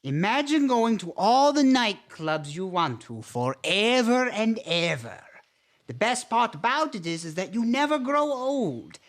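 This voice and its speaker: A man's voice: sits high, sing-song, measured pace, fairly bright tone, full character voice. An actor playing a courtly, easily flustered vampire father with formal, stilted phrasing in a campy horror comedy. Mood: persuasive, reassuring.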